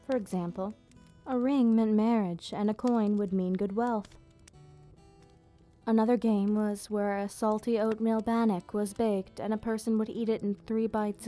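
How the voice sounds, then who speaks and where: Woman acting as nostalgic female vampire, ASMR storytelling, soft and mellow, medium pitch, gently formal, faint music behind. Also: lightly acted. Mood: fond, explaining. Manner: gentle, unhurried explaining, slightly formal wording. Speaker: ASMR roleplay performer. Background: background music.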